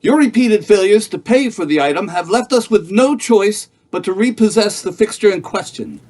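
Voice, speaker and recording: Man acting a high-voiced, stern debt collector. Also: lively intonation, normal speed, darkish tone. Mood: stern, formal. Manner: stiff, formal, insistent. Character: stern, formal male safe company debt collector. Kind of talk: stage musical table read.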